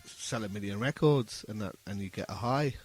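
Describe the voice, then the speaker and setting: Earnest middle-aged man, lowish voice. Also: moderate pace, a little mellow, husky, faint music behind. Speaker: musician guest, saxophone busker. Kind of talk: casual interview storytelling.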